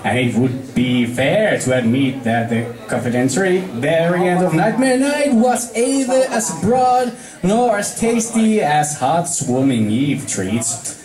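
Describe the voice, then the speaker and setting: A man's voice: a little high, animated, slow pace, a little mellow. A man with a Finnish accent reading a story aloud to a group as an amateur, stumbling over words and rereading them. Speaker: amateur fan fiction reader. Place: echoey room at a fan meet-up. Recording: echoey room with background crowd.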